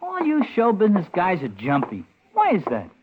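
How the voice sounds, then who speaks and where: A man's voice: sits high, wildly swooping pitch, moderate pace. An actor playing a chatty, cocky, star-struck young hotel elevator boy in a 1950s radio drama, slangy and rambling. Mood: eager, breezy. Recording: noisy tinny 1950s radio recording.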